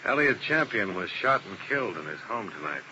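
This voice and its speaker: A terse hard-boiled insurance investigator. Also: male voice, on the low side, sing-song, a little fast. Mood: pressing. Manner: terse, dry, probing. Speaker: narrator and lead detective. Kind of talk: 1950s radio drama acting. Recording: hissy old radio broadcast.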